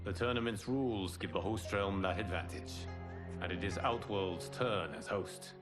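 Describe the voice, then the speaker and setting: An actor playing a solemn, commanding fire god and wise protector, speaking gravely and formally in a video game cinematic. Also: male voice, low-pitched, normal speed, over music, natural voice. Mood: measured, instructive.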